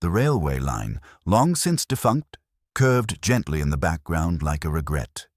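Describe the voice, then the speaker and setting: A man reading a scripted detective story in a dry, measured, literary manner. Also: extremely low pitch, very animated, no echo. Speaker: narrator. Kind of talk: scripted audiobook narration of a detective mystery.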